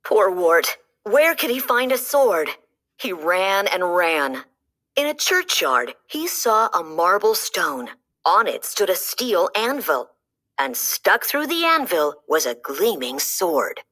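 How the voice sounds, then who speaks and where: Slow, expressive woman reading a storybook. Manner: slow, lively storytelling with dramatic pitch swings. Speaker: storybook reader. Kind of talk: children's read-aloud narration.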